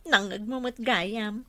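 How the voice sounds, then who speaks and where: A woman's voice: on the high side, pushed and bright, dry recording, full character voice. An actress playing a coy, flustered young female clerk being courted in an Ilocano radio comedy drama, full of questioning exclamations.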